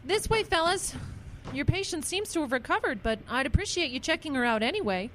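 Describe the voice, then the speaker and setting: A woman's voice: high-pitched, animated, brisk, a bit bright, a little hiss or hum. A woman playing a kindly, practical small-town pastor in a radio drama. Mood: reassuring.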